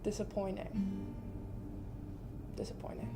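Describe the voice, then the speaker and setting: Creaky young woman over music. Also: mid-range voice, animated, slow. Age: early 20s. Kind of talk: personal testimony to camera.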